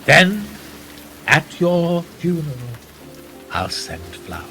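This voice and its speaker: A powerful, manipulative gentleman of rank played by a male actor in a radio drama. His phrasing is measured and condescending, rising and falling expressively with a quiet threat beneath it. Faint music sits behind the voice. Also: fairly high pitch, sing-song, full character voice. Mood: quietly menacing. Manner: measured, condescending, with rhetorical tag questions. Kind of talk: radio play dialogue.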